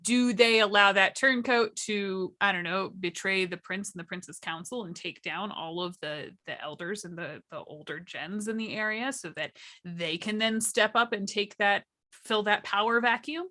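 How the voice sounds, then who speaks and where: A woman's voice: medium pitch, moderate pace, sharp tone. A woman giving casual, articulate explanations as a workshop panelist, with a wry conversational manner.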